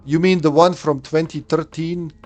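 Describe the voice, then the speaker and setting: A man's voice: fairly high pitch, fairly even intonation, moderate pace, darkish tone. Chatty man in his late 30s streaming a game. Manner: chatty, thinks aloud and answers chat. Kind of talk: live gameplay commentary.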